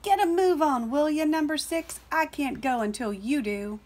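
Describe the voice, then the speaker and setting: Woman as a brash, high-pitched cartoon number. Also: moderate pace, a little mellow, close to an everyday voice. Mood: impatient. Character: impatient, teasing cartoon numbers, dismissive and brash.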